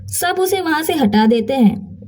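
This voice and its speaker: A woman narrating an emotional moral bedtime story in a dramatic storytelling style, with deliberate pauses. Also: high-pitched, expressive, darkish tone.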